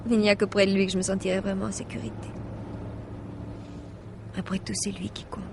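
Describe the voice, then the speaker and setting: A woman's voice: mid-range voice, flat delivery, fairly quick, creaky, heavy background noise, lightly acted. A woman playing an anxious, hesitant wife confiding in her driver in a French radio mystery drama, breaking off and restarting her sentences. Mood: wistful.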